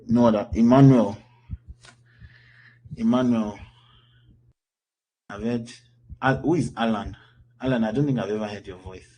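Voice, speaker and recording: A man's voice: medium pitch, a little slow, pauses often, mellow, dull audio. A Nigerian man in his thirties, an instructor answering questions in a loose, chatty online class. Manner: loose, chatty, with lots of fillers and restarts.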